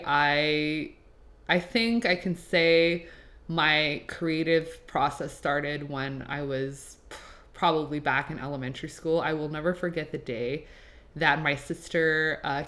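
A woman's voice: deep voice, fairly bright tone. A woman in her late twenties from Quebec with a Canadian accent and French as her first language, hosting a podcast in a casual, chatty, extroverted monologue peppered with "like". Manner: chatty, extroverted, filler-heavy with "like". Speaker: podcast host. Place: apartment.

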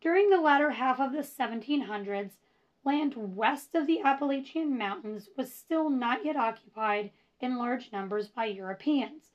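A woman hosting a casual history podcast, chatty and self-deprecating with rambling asides, her voice going hoarse. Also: sits high, lively intonation, normal speed. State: losing her voice. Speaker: podcast host. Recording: dull lo-fi recording.

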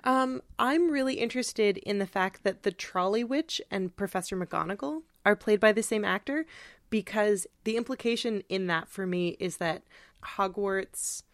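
A woman of average pitch talks as a podcast co-host in a close, dry sound. She sets out her thoughts on literature reflectively and informally, pausing now and then to gather them. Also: no room sound. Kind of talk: conversational literary discussion.

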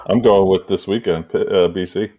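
A man's voice: sits low, steady tone, normal speed, dull audio, noisy background. A man hosting a casual sports podcast, telling anecdotes in a loose, rambling way. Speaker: podcast host. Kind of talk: casual sports banter and storytelling.

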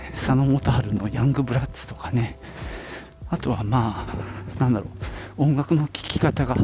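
A man's voice: mid-range voice, dull audio. A middle-aged man from the Nagoya area of Japan, talking casually on his own as a podcast host, in an informal, rambling way with little asides. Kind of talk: casual solo reminiscing.

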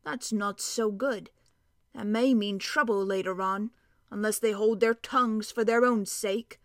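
A woman voicing a cheerful, stocky male dwarf with a hearty, emphatic, drawn-out way of talking. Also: mid-range voice, steady tone, a bit bright, dry recording, studio quality.

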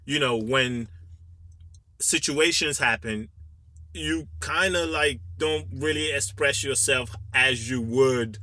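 A man with a fairly deep voice co-hosting a casual podcast discussion, talking through his thoughts in an earnest, reflective way. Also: slow pace, pushed and bright. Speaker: podcast co-host.

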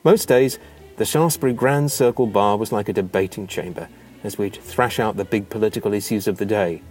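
A man narrating a written memoir in an expressive, measured, theatrical storytelling manner. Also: fairly low pitch, soft background music.

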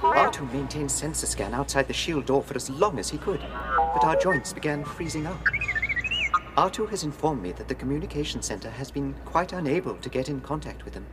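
A man plays a prim, fretful robot servant in a radio drama. The droid speaks in formal, courteous phrases with highly animated, swooping pitch. Loud music runs behind the voice. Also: brisk, lightly acted. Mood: anxious, reassuring. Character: fussy, anxious, polite protocol droid, male.

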